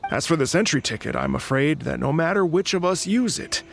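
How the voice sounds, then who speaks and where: A man's voice: fairly high pitch, expressive, brisk, creaky, a bit bright, faint music behind. A man playing an earnest, proud sword disciple, speaking formally and solemnly in dramatic video game style. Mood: dejected. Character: earnest, proud young male sword disciple, senior student.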